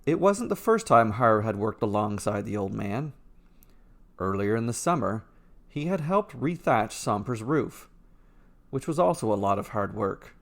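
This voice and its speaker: A man's voice: on the low side, very animated, unhurried. A man reading a scripted fairy tale as its storyteller and narrator. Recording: music playing behind the voice. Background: instrumental music.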